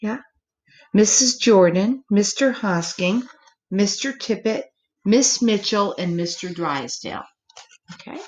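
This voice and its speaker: Slow, expressive woman at children's story time. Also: medium pitch, low-quality recording. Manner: expressive, gently questioning, speaks simply as to small children. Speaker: storyteller reading a picture book to children. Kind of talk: children's story time, read-aloud with asides to young listeners.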